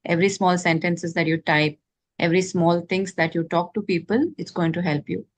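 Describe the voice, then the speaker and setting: A woman interviewing a learner and giving clear, encouraging advice on learning English. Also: fairly low pitch, fairly even intonation, moderate pace.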